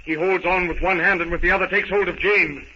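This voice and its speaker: A man's voice: sits high, fairly even intonation, fast, bright, forceful tone. A man narrating a 1930s radio adventure serial in a theatrical, suspenseful, dramatic manner. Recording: muffled, noisy 1930s radio recording.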